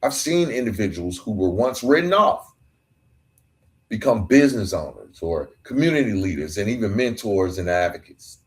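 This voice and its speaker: Male podcast host, lower voice, earnest solo talk built on emphatic repeated lines. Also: big pitch swings, normal speed. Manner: earnest, building emphatic repetitions. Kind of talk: reflective podcast monologue.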